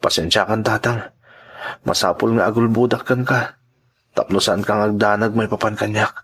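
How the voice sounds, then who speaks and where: A man's voice: fairly low pitch, fairly even intonation, normal speed, fairly bright tone, slightly rough. A man with a Filipino accent playing an earnest, worried migrant worker in an Ilocano radio drama, speaking in a hesitant, respectful way. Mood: guilty, resolved. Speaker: voice actor.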